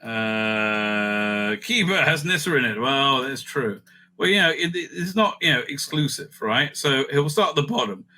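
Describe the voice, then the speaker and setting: A man's voice: medium pitch, animated, unhurried, sharp tone, slight background noise. A man hosting a casual, off-the-cuff ranking video, rambling and interrupting himself as he thinks aloud. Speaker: video host ranking TV stories.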